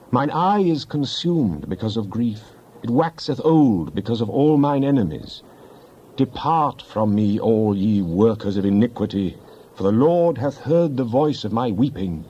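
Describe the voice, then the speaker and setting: A man's voice: lowish voice, mellow, highs cut off. A man playing a fervent psalmist in a dramatized scripture reading, pleading with God in solemn, impassioned, declamatory tones and archaic diction. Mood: anguished, pleading.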